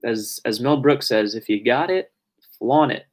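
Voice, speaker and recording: A young man streaming live, talking casually and at length with his audience. He often restarts his sentences and lets them trail off quietly. After an hour of talking, his mid-pitched voice has turned a little raspy. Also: a little slow. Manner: rambling, self-correcting, trailing off quietly. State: voice getting raspy from long talking. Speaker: live streamer answering viewer questions.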